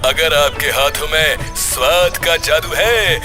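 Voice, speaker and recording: A man performs a bombastic cartoon announcer making a village proclamation. He pitches his voice high and drags out his words very slowly for emphasis, repeating key phrases. Music runs behind him. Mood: excited hype. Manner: drawn-out, emphatic, repetitive. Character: bombastic male loudspeaker announcer hyping a village contest.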